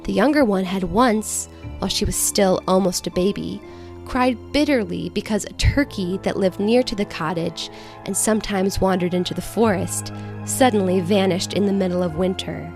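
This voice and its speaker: A woman's voice: mid-range voice, normal speed, darkish tone, faint music behind. A woman reading a children's fairy tale aloud as scripted storybook narration. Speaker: story reader.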